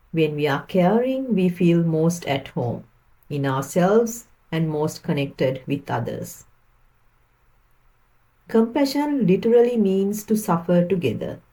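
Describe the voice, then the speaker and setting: A woman leading a guided meditation, speaking in a gentle, calming way with unhurried phrasing. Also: on the low side, low-quality recording.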